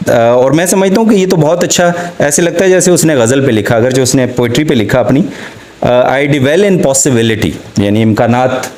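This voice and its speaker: A man with a Pakistani accent giving an academic literature lecture, haltingly, reading out lines of poetry slowly and explaining them. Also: medium pitch, darkish tone. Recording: roomy, echoing sound.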